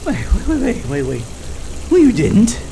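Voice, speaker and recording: An actress playing a detective who panics, swears and stammers, her voice gravelly and shaken. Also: a little high, very animated, moderate pace, noisy background. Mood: rattled. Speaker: detective Carrigan.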